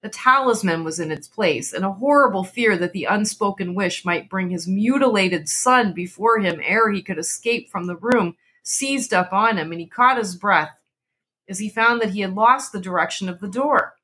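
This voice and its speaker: A woman's voice: mid-range voice, normal speed, sharp tone, husky. A woman narrating a literary horror story as a dramatic reading.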